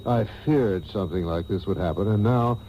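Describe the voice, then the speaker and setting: An actor playing a pompous, fretful wealthy middle-aged father, halting and melodramatic, in a radio soap parody. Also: lowish voice, lightly acted. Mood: indignant, wounded. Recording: dull old radio tape, faint hum.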